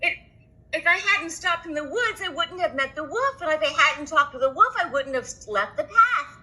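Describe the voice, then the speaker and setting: A woman reading a children's story, voicing an innocent, earnest little girl with wondering, drawn-out exclamations. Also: sits high, lively intonation, pushed and bright, pitched above the performer's own voice, exaggerated voice, poor audio. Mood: regretful.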